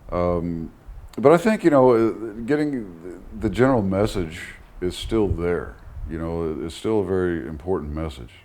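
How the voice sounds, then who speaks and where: A man's voice: deep voice, lively intonation, moderate pace, few pauses, a little mellow. A man speaking as an interview guest in a reflective way, thoughtful and hesitant, searching for his words. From United States, Texas. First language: English. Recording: audible background noise.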